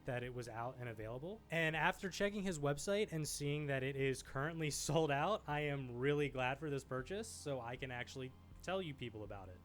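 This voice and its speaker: A man hosting a video essay, giving informal, enthusiastic film commentary in a conversational style. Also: on the high side. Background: background music.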